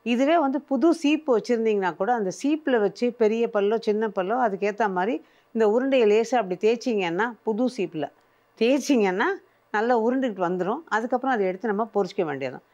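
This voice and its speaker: A woman's voice: a little high, animated, fast, darkish tone, highs cut off. An older woman presenting a cooking show, patiently explaining each step as she works in a studio kitchen.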